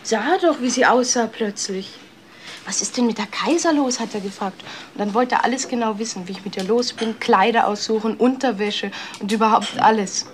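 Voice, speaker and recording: An actress playing a gossipy, catty office worker in a German crime radio play, chatting away. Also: female voice, a little high, moderate pace, slight background noise, natural voice.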